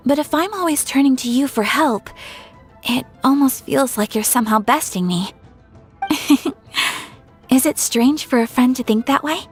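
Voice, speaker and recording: An actress playing a gentle, modest young noblewoman in a video game, with formal, polite delivery. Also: sits high, animated, a little slow, long pauses, faint music behind. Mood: confiding.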